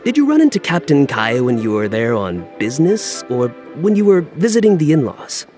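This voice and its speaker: A man's voice: a little high, wildly swooping pitch, moderate pace, a little mellow, soft background music. An actor playing an easygoing, wry male caravan guard in a video game. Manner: wry, sociable.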